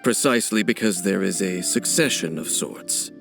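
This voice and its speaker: An actor playing a grave, guarded wandering warrior in a video game, speaking in a solemn, formal and measured way. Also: male voice, low-pitched, lively intonation, gravelly, loud music behind the voice.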